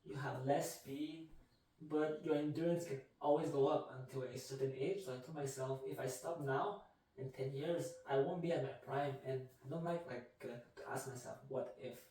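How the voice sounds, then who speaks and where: A man in his twenties from Canada, whose first language is Vietnamese, answering interview questions about running in a measured, reflective way. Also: mid-range voice, fairly even intonation, dark tone, slight background noise. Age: 20s. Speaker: guest, marathon runner. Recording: echoey room, mic from a distance.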